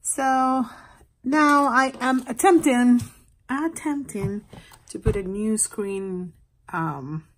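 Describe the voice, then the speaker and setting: A woman's voice: on the high side, slow pace, low-quality recording. A woman in her thirties chatting casually to camera in a personal vlog. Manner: switches between English and Spanish. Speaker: vlogger. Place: parked or moving car, small home rooms.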